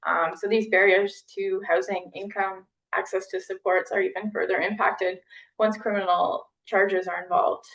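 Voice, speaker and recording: A woman's voice: medium pitch, moderate pace, vocal fry, recorded from a distance, rough recording. A woman co-presenting at a conference, talking steadily with frequent fillers. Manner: flat, steady delivery with many fillers.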